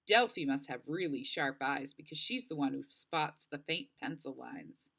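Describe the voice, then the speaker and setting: A woman narrating a children's novel aloud in an expressive storybook style, acting out the dialogue. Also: low voice, normal speed, gravelly. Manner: animated, acts out dialogue.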